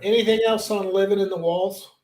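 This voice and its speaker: A man co-hosting a podcast, making short reactions and telling stories slowly with hesitations. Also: sits high, fairly even intonation, a crowd in the background. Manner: short dry reactions, slow hesitant storytelling. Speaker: podcast co-host. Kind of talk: casual podcast chat. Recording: roomy, echoey mic.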